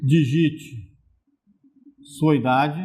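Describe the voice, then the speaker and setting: Teacher explaining step by step. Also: male voice, fairly high pitch, fairly even intonation, very slow, a little mellow, soft background music. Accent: Brazilian Portuguese. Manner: explains step by step, checks in with viewers. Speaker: programming teacher. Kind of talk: recorded distance-learning lesson.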